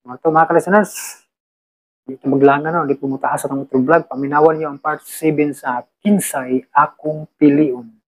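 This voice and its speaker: A man with a Cebuano Filipino accent giving halting, casual shout-outs to listeners as a drama announcer. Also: fairly high pitch, fairly even intonation, poor audio.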